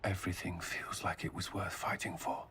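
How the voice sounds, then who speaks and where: An actor playing a devoted military commander who speaks earnestly and a little bashfully. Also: male voice, deep voice, flat delivery, moderate pace, a little hiss or hum. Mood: tender. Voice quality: warm, earnest, slightly bashful. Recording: game scene with music behind.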